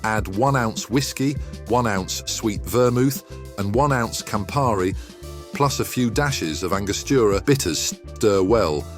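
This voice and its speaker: A man reading a scripted cocktail recipe segment in a measured way. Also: on the low side, animated. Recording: faint music underneath.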